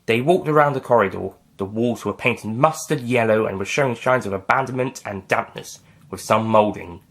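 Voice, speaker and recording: A man's voice: fairly low pitch, moderate pace, a bit bright. A man reading a scripted science-fiction adventure story as its author and narrator.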